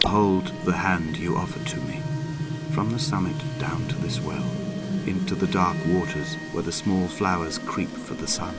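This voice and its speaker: Lone grieving castaway, male, played by an actor: low, solemn, poetic game narration over loud music. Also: fairly even intonation, close to an everyday voice. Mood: haunted, mournful. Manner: brooding, measured, solemn, poetic delivery. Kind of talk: literary dramatic monologue, scripted game narration. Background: prominent music.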